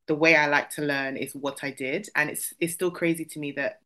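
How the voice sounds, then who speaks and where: A woman's voice: low-pitched, steady tone, brisk, a bit bright. A young woman from the UK chatting casually as a podcast guest, rambling with lots of fillers and restarts. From United Kingdom. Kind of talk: casual chat.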